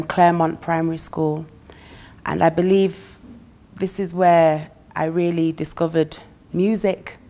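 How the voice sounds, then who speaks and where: A woman from England, chatting as a guest musician and laughing easily between phrases. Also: fairly low pitch. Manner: warm, chatty, laughs between phrases, uses "you know" a lot. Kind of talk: relaxed interview chat.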